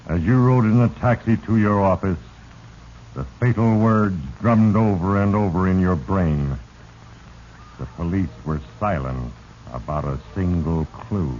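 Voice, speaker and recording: Noisy old radio, sinister all-knowing narrator guy, very slow, dark mellow voice, heavy and ominous. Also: low-pitched. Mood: ominous, solemn. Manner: heavy-handed, ominous, deliberate. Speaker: host and narrator. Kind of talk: 1940s radio melodrama narration. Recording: noisy, dull 1940s radio recording.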